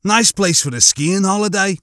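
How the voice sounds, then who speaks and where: A man's voice: high voice, moderate pace, bright, forceful tone, dry recording, studio quality, voice pushed up. A man voicing a laid-back, cheeky spaceship crewman in an audiobook, casual and wry.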